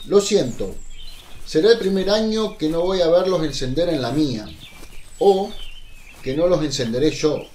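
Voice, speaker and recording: An actor playing a humble, curious, talkative fisherman disciple in a radio drama, with a folksy, animated manner. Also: on the high side, moderate pace, close to an everyday voice. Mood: wistful. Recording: echoey room with some background noise.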